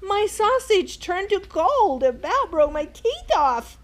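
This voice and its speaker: A woman voicing an excitable, gold-obsessed storybook king with a theatrical, exclamatory manner. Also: extremely high pitch, slow, pitched above the performer's own voice, full character voice. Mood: dismayed, frantic. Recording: clearly noisy room recording.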